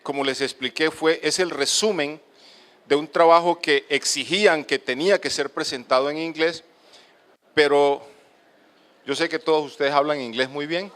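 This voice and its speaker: A middle-aged man from the Colombian Caribbean coast, with a coastal accent mixed with Medellín's, giving a halting lecture to an auditorium with many fillers. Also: a little high, steady tone, fairly bright tone. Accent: Colombian, coastal mixed with Medellín paisa. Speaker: speaker at a lecture. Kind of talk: lecture to an audience.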